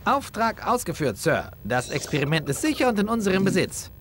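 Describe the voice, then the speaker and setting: A man's voice: high-pitched, big pitch swings, fairly quick, a bit bright, a little hiss or hum. A man playing a young, cocky, easygoing furry creature hero in a video game, casual and confident. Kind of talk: video game cutscene dubbing.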